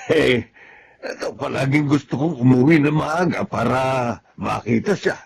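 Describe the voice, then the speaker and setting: A man's voice: mid-range voice, measured pace, gravelly. A man playing a doting, easily flustered young father in a Tagalog radio drama. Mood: happy, proud. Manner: animated, emotive.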